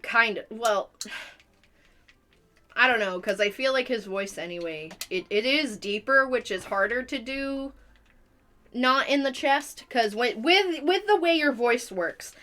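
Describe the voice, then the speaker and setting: Bright, high-voiced young woman. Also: lively intonation, a little slow. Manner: rambling, explanatory. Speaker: live streamer chatting during gameplay. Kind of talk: casual chat.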